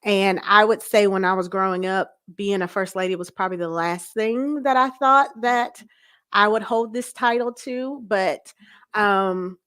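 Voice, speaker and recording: Plainspoken woman with a mid-pitched voice. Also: unhurried, fairly bright tone, clean tone. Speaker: guest, pastor's wife. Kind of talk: conversational interview.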